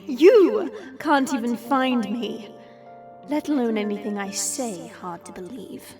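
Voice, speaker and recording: Female vampire, acted by a woman, sassy, playful, unhurried, slightly high voice, audio roleplay. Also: lively intonation, darkish tone, soft background music. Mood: scornful. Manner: sarcastic, playful, drawling. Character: hidden female vampire, sassy, teasing, unafraid. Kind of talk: audio roleplay drama acting.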